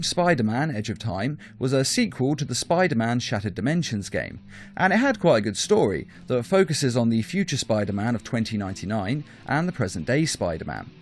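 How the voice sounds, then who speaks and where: A man narrates a scripted top-five video as its host. His pitch is middling and swings widely as he explains and stresses his points. Soft plucked guitar music plays behind him.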